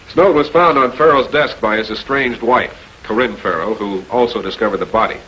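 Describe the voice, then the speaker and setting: An actor playing a calm, persistent public prosecutor in a 1940s filmed crime drama, questioning people in a measured, authoritative way. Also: male voice, fairly low pitch. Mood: explaining. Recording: noisy old 1940s film soundtrack.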